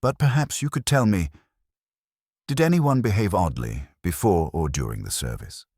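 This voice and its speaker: A very deep-voiced, courteous police inspector as played by a male narrator speaks mildly and without hurry. He is dryly sceptical, in the style of a radio mystery, with a lively melodic line. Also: slightly rough, no echo. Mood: courteous, probing. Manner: mild, unhurried, dry.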